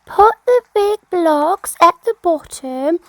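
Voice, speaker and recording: Woman voicing a squeaky little boy elephant. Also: expressive, slow pace, a bit bright, no echo, exaggerated voice. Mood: confident. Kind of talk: children's storybook read-aloud.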